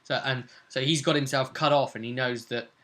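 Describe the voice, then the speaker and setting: A man's voice: medium pitch, fairly even intonation, fairly quick, a bit bright. A man, a game master casually recounting a tabletop role-playing session in a chatty storytelling way. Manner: chatty, conversational storytelling with tag questions.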